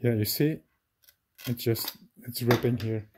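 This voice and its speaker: A man giving a casual hands-on demonstration at a table, talking haltingly with many fillers and self-corrections. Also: medium pitch, noisy background. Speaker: DIY video maker. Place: indoors at a table.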